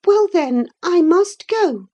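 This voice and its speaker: Woman acting a very high, impassioned young lady. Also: animated, slow pace, mellow, muffled recording, full character voice. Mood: doleful. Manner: emotional, impetuous. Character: passionate, headstrong young lady, quick to anger and remorse. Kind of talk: dramatised audiobook character voice.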